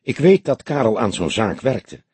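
Guy doing a stern proud widow in a crime audiobook, raspy, very dry sound. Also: on the low side, big pitch swings, moderate pace, a little mellow, dry recording, exaggerated voice. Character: stern, composed newly widowed woman, cool and proud.

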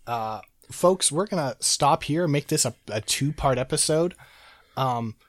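A man's voice: mid-range voice, lively intonation, measured pace, no echo. A man hosting a gaming podcast while sick, his throat sore and his voice going. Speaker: podcast host. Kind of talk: casual gaming podcast chat.

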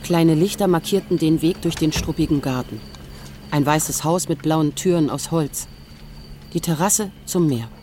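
A woman's voice: low voice, measured pace. An actress playing a disillusioned, lonely woman of about forty who narrates a literary audio drama in a sober, wry, introspective way. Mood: melancholy, sober. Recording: loud music under the voice.

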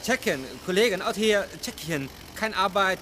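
An actor playing a frightened migrant forestry worker who protests in halting, broken German with a foreign accent. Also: male voice, sits high, lively intonation, background noise. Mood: protesting.

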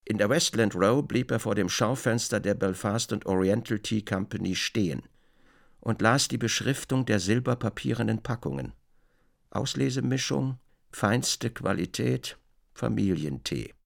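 A man narrating a literary radio drama in a measured, deliberate storytelling manner. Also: fairly low pitch, creaky.

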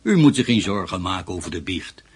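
An actor playing a kindly, devout retired priest with a very husky older voice, gentle and courteous in a radio play. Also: male voice, low voice, very animated, fairly quick, exaggerated voice. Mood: gently courteous. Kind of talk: Dutch radio play acting.

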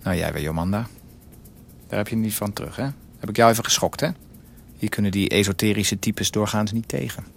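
A cynical husband confesses his inner thoughts in a radio play. His voice is very low and thick with fry, with a coarse, sardonic edge. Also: brisk. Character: cynical unfaithful husband of a dying woman, narrating inner thoughts. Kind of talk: radio drama inner monologue.

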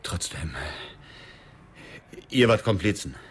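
A man's voice: sits low, expressive, slow pace, slightly rough, heavily acted. A man acting in a radio drama as a bitter, self-righteous widower turned killer, cold, defiant and matter-of-fact. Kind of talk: radio drama acting and first-person narration.

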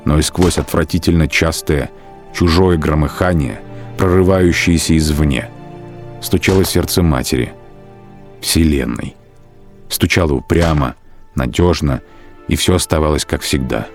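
A man narrating a literary radio drama in measured, emphatic short phrases. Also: very deep voice. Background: music under the narration.

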